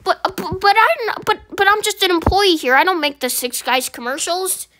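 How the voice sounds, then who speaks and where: A woman voicing a nervous young fast-food worker who stammers and gets flustered. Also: pushed and bright. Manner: stammering, flustered, high-pitched.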